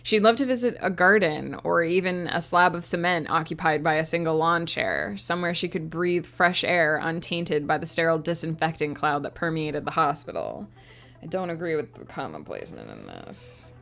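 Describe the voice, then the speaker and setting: A woman's voice: low voice, animated, normal speed, vocal fry, fairly bright tone. Sniffly woman reading her novel draft. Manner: stumbles and restarts lines, corrects herself. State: sniffly, stuffy nose. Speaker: author and streamer. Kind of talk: reading her own novel draft aloud, with casual asides. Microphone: close desk microphone.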